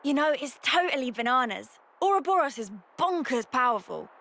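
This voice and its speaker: A woman's voice: sits high, very animated, brisk, a bit bright, heavily acted. A woman playing a cheeky young fighter in a video game, blunt and slangy. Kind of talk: video game cutscene acting.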